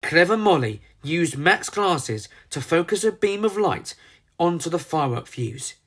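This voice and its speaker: Storybook narrator, theatrical and emphatic, very lively pitch, unhurried delivery. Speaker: storyteller narrating a children's book. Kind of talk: dramatised storybook narration with character voices.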